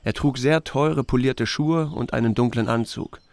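An actor playing a dry, steady small-town sheriff, narrating and talking in a radio drama. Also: male voice, mid-range voice, moderate pace, gravelly, dry recording, lightly acted. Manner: dry, understated. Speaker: narrator and lead character.

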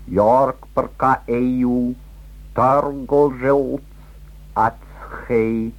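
A man's voice: mid-range voice, steady tone, highs cut off. A man reciting sound poetry, drawing out each syllable. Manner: drawn-out, syllable-by-syllable reading.

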